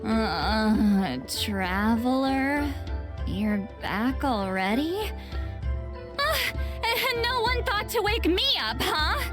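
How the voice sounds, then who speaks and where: High-voiced, nonchalant game shaman. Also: big pitch swings, drawn-out words, music in the background, heavily acted. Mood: groggy, miffed. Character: laid-back, sharp-witted female shaman, hard drinker. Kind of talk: video game voice acting.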